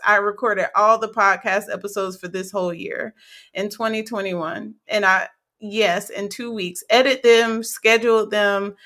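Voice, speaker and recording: Raspy, excitable young woman host. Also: mid-range voice. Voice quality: raspy, hoarse. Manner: excitable, laughs often, many fillers. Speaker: podcast host. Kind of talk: faith-based conversational interview.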